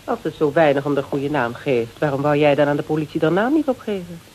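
A cool, menacing woman running an interrogation in a radio drama. Her voice is fairly low with lively swings of pitch, curt, commanding and mocking. A faint crackling hiss sits behind her. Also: fast. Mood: curt, probing. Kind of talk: Dutch radio play dialogue.

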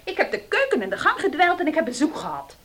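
A troubled young daughter in a radio drama, her voice set high. She is meek with a streak of defiance, speaking as a girl at home with her parents. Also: wildly swooping pitch, fast talker, a bit bright, full character voice. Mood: defensive. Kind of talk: Dutch radio play acting.

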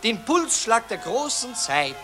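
An actor playing a self-important newspaper reporter, declaiming pompous patriotic phrases in 1940s radio satire style. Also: male voice, very high voice, sing-song, measured pace, bright, forceful tone, soft background music, a faint crowd, exaggerated voice. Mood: self-important fervour. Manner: pompous, declamatory, repeats slogans. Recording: old 1940s radio recording.

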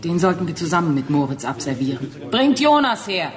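A woman's voice: fairly low pitch, big pitch swings, fairly quick. A woman playing a cool, scheming mastermind in a radio play, poised and mockingly polite. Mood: confident. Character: cool, seductive, scheming female mastermind posing as secretary. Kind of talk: German radio play acting.

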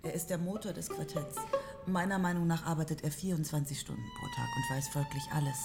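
An actress playing a young wife, a patient yet evasive musician, in radio play dialogue. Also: female voice, low voice, steady tone, a little fast, vocal fry. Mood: admiring. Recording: music behind the voice.

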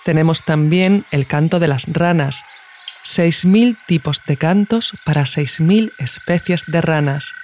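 Low-voiced woman narrating. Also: measured pace, a little mellow, a little hiss or hum. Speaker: podcast host and narrator. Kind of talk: scripted essay narration.

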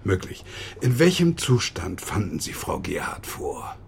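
An actor playing a dry, sardonic police inspector who questions people formally in a German radio crime drama. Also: male voice, fairly low pitch, big pitch swings, measured pace, vocal fry, slight background noise, exaggerated voice. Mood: stern, dry.

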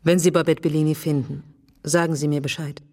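An actress playing an earnest policewoman in a German radio drama, questioning in a deliberate, intimate and insistent way. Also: low voice, little pitch movement, dark tone. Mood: probing, cool. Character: earnest, probing policewoman challenging a wary man.

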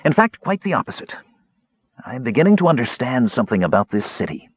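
Imperious mage, male reader acting: hushed, deliberate, terse, raspy edge, storybook fantasy, slightly muffled recording. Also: medium pitch, very animated, dry recording, voice pushed up. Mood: quietly intense. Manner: quiet, deliberate, intense.

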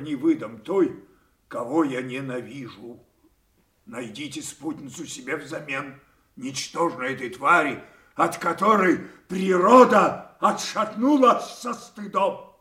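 A man's voice: on the high side, pushed and bright. An actor playing a proud, hot-tempered old king in a theatrical audio drama, grand, commanding and declamatory. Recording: roomy echo, somewhat distant from microphone.

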